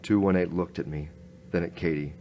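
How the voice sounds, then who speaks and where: A man's voice: low-pitched, fairly even intonation, brisk, dull audio, slight background noise. A man playing a grim hard-boiled inspector, narrating tersely in a noir radio drama. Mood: grim narration.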